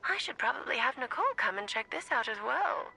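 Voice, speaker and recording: A woman's voice: high-pitched, animated, fast talker, gravelly, sharp tone, heavily acted. An actress playing a breezy, inquisitive woman researcher in a video game, chatty and articulate. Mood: curious, breezy.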